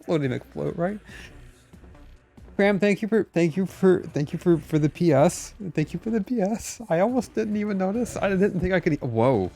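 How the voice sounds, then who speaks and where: A man's voice: high-pitched, lively intonation, normal speed, dark tone. A man live-streaming a game, giving playful, rambling, casual commentary as he plays. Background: game music.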